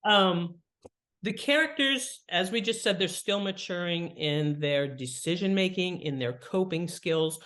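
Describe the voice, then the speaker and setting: A woman's voice: on the low side, measured pace, a bit bright. Woman explaining things in an interview. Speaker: guest, fiction writing author.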